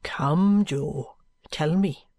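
A woman voicing an earnest, patient gentleman in an audiobook, with a gentle, deliberate, coaxing manner. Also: low-pitched, no echo, exaggerated voice. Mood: coaxing, urgent.

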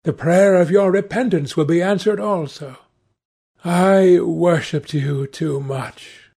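A man's voice: high voice, slow pace, vocal fry, dark tone, husky, voice pushed up, heavily acted. A man voicing an anguished, horrified painter of devout, moralising temper in an agitated, imploring, theatrical audiobook style. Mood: anguished pleading. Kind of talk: dramatic audiobook character voice.

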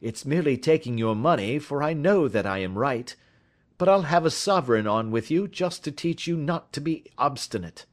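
A man voicing a cool, shrewd gentleman detective in an audiobook, with a polite, careless, lilting manner. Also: a little high, animated, normal speed, pitched above the performer's own voice, close to an everyday voice. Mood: stubbornly goading. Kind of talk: audiobook character dialogue.

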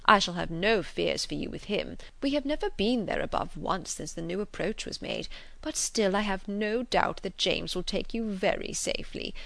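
A woman voicing a fretful elderly gentleman, an anxious invalid father who fusses and pleads gently, in an audiobook. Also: medium pitch, animated, moderate pace, gravelly, no room sound. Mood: fussing.

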